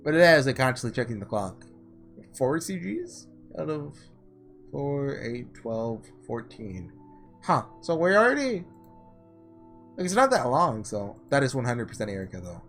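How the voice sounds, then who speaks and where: Male visual novel playthrough host, mid-pitched and lively in intonation, informal and musing, music underneath. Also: a little slow. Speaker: gaming video host. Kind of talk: casual playthrough commentary, reading visual novel text aloud.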